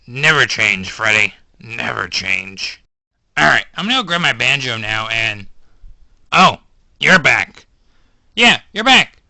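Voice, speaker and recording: A laid-back male animatronic banjo player, a bit low and dry in his delivery, sounding mildly fed up with his bandmate, as a man puts him on. His voice is very bright and sits close to the mic in a dead, dry space. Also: very animated, slow pace, creaky, pauses often, no room sound, full character voice. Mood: exasperated.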